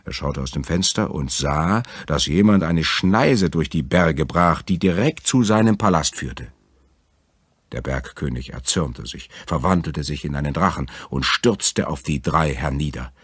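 A man with a husky voice narrating a scripted fairy tale as an audiobook storyteller. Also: very deep voice, expressive, unhurried, a bit bright, no echo, studio quality.

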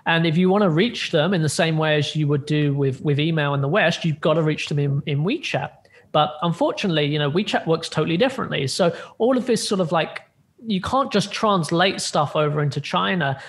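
A man's voice: a little high, brisk. A man in his thirties, a guest tech analyst on a video call, explaining points with many fillers and restarts. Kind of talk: conversational interview answers. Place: home room with plain light blue wall. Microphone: video call with earbuds.

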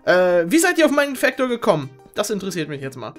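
A man's voice: high-pitched, wildly swooping pitch, fast, faint music behind. A man hosting a game show with a playful, joking, theatrical and exclamatory delivery.

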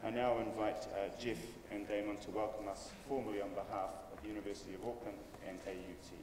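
A man in his forties at a lectern, giving relaxed, joking housekeeping announcements as the event MC, full of ums and uhs. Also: lowish voice, fast. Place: conference room, at a lectern. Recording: roomy hall reverb, distant mic, faint hiss.

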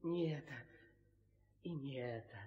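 An actor playing an eager, boisterous monkey hero in a theatrical 1960s audio drama, exclamatory and excitable. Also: male voice, medium pitch, measured pace, dull audio, lightly acted. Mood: searching, hushed. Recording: echoey, reverberant old drama recording.

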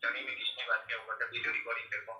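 A man's voice: mid-range voice, level tone, racing, pushed and bright, far from the mic. A young man arguing insistently in a heated phone exchange. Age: late teens. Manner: argumentative, insistent. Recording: echoey room, background noise, clicks.

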